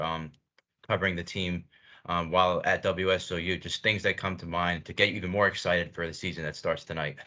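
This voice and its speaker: Fast, bright, deep-voiced young American man. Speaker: student sports radio host. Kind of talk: conversational interviewing.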